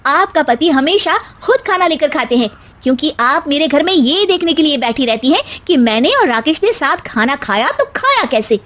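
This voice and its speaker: A high, lively young bride acted by a woman storyteller in a cartoon family drama. She speaks politely, with a pointed, assertive edge. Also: normal speed, few pauses. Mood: firm, indignant. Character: young, composed but assertive newlywed daughter-in-law.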